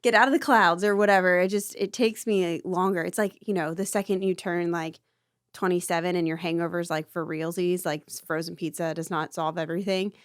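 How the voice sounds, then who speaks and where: A woman's voice: on the low side, steady tone, fairly quick, clean tone, no room sound, clean studio sound. A woman in her thirties, a mother and podcast co-host, chatting casually with easy laughter and rambling asides. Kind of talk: casual chat.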